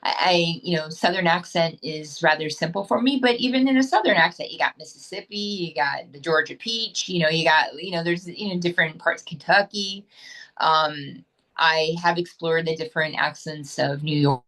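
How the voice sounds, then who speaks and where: A woman's voice: low-pitched, normal speed, a bit bright, rough recording. Chatty, upbeat woman actress. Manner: chatty and upbeat, frequent restarts. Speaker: actress, guest. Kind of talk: casual interview chat.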